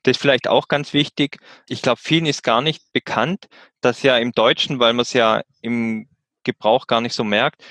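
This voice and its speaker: A man's voice: mid-range voice, fairly even intonation, moderate pace, fairly bright tone, no echo. A man interviewing a translator, asking practical questions with frequent hesitant fillers.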